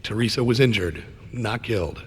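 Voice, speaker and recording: A man's voice: on the low side, animated, normal speed, breathy edge. An actor playing a resolute, earnest male operative in a video game, speaking in firm, terse phrases. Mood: insistent. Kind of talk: video game cutscene acting.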